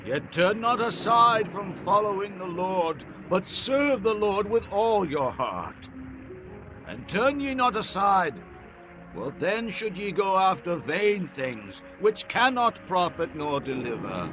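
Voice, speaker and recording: A man acts out a stern old prophet in a dramatized scripture reading. The voice is high and forceful, declaiming solemnly and slowly to his people. Soft music plays underneath. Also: heavily acted. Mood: earnest exhorting. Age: older. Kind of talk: dramatized King James Bible reading.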